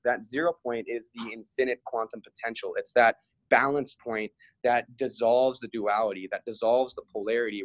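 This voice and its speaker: A man's voice: medium pitch, no echo, poor audio. A man in his mid-twenties from Hawaii with an American accent, explaining things earnestly in casual, slangy interview talk. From Hawaii, Big Island, United States. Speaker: podcast guest, fitness and bodywork practitioner.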